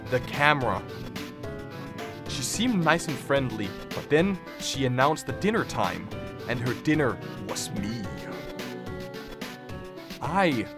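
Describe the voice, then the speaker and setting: A man hosting a reaction video, joking and thinking aloud as he comments casually and reads captions out. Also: mid-range voice. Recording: music playing behind the voice. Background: background music.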